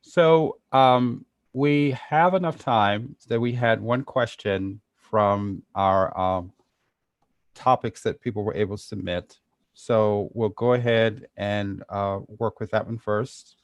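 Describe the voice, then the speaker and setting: A man's voice: fairly low pitch, takes it slowly, dry recording, clean studio sound. A man moderating a roundtable discussion, polite and informal, with hesitant phrasing.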